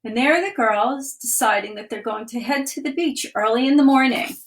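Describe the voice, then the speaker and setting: A woman, a children's author, reading her picture book aloud in a livestream with clear, expressive delivery and chatty asides. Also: on the high side, brisk. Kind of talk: children's storytime read-aloud. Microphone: webcam or phone for a livestream.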